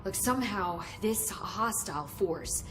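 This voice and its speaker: A woman's voice: medium pitch, expressive, measured pace, background noise, lightly acted. An actress voicing a nervous young woman researcher who rambles and apologizes for talking too much. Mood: flustered.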